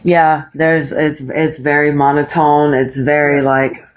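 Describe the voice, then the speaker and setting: A woman with a deep, smooth voice and a country twang, a guest talking candidly and swearing freely in a casual live chat. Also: slow pace. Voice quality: deep voice with bass; smooth, calming. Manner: candid, frequent swearing and laughter.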